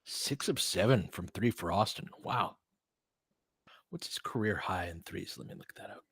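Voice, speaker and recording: A man's voice: low-pitched, lively intonation, normal speed, a bit bright, breathy edge, no echo, clean studio sound. A man giving informal live basketball play-by-play and analysis, conversational and openly partisan, like a fan of the home team.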